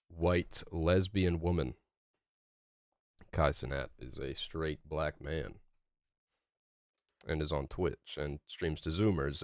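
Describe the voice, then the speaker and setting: A man's voice: sits low, clean tone, no room sound, studio quality. A young man streaming a video game, chatting casually with viewers in a rambling, laid-back way full of fillers. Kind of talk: casual livestream chat while gaming.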